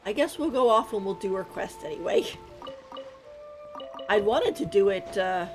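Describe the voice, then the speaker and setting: A woman's voice: medium pitch, expressive, normal speed, soft background music. A woman giving casual, musing live commentary as she streams a video game.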